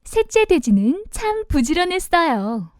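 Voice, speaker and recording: A woman with a high-pitched voice tells a children's storybook. Her narration is expressive and animated, swinging widely in pitch, and pitched simply for young children. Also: unhurried, dry recording, studio quality.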